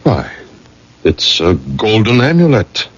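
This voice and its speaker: A man's voice: lowish voice, wildly swooping pitch, takes it slowly, breathy edge, exaggerated voice. An actor playing a firm, principled middle-aged father, speaking formally and emphatically in a radio drama. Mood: skeptical. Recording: muffled vintage radio drama recording.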